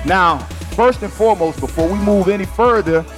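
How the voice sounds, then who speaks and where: Male host with a high voice; hype, casual, slang-heavy delivery; soft music bed. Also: moderate pace. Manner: energetic, hype, slangy banter. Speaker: podcast host. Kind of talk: casual chat.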